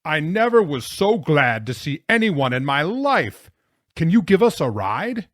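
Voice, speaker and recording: A man's voice: fairly high pitch, sing-song, measured pace, no echo, studio quality, voice pushed up. A man voicing a blustery, grumbling, worn-out grown man in an audiobook story. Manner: gasping, grumbling, roaring.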